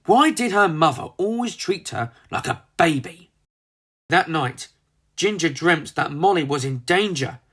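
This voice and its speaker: Brief: children's storybook narrator, medium pitch with wide swings, dramatic reading. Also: expressive, unhurried, fairly bright tone, slightly rough.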